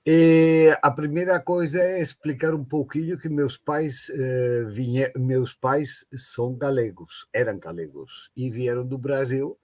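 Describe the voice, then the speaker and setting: A man speaking Portuguese, talking slowly and with emphasis as a guest professor on an online panel. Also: mid-range voice. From Brazil. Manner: slow, deliberate, emphatic. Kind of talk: live online panel talk.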